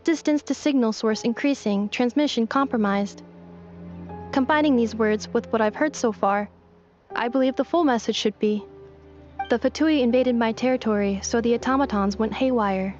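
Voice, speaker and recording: A woman voicing a calm, analytical robotic girl in an anime game, speaking in a formal, clipped, machine-like manner. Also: on the high side, moderate pace, close to an everyday voice. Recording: slightly dull game capture with music.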